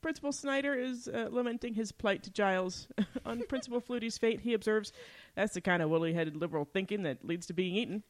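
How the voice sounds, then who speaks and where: A woman's voice: medium pitch, a little fast. A woman co-hosting a podcast, chatting casually through a TV recap and often laughing as she talks. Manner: giggly, frequently laughing while talking. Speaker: podcast co-host. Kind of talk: casual TV episode recap chat.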